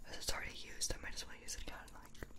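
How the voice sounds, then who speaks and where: A young woman whispers in an ASMR personal-attention roleplay. Her voice is breathy and almost entirely unvoiced, right up close to the mic. She rambles nonchalantly in halting little fragments, playing a makeup artist. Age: 20s. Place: small room at home, indoors. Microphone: close mic, facing camera.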